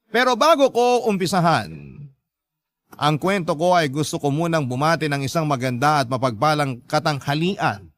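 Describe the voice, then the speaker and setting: A Filipino male radio host reads a dramatic story in a measured way. His voice sits somewhat high for a man, and the sound is dry and close. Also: fairly bright tone, dry recording. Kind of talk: scripted dramatic narration of listener's letter.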